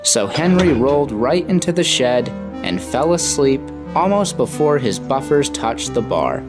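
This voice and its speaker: Storyteller, male: animated scripted narration of a children's tale, music behind the voice. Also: mid-range voice, a little slow.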